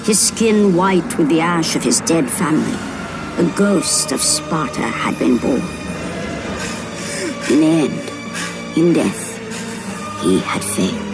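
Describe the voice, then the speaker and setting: Low-voiced, solemn woman narrator. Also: full character voice, poor audio. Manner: solemn, portentous. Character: grave, solemn female storyteller of a mythic tragedy. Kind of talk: dramatic scripted game cutscene narration. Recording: loud music behind the voice.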